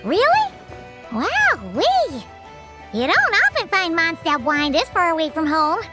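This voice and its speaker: A woman voicing a tiny, excitable, childlike female fairy companion with a squeaky voice in a video game. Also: unhurried, full character voice. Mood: excited, curious. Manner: refers to self in third person, exclamatory. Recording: loud music behind the voice. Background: music.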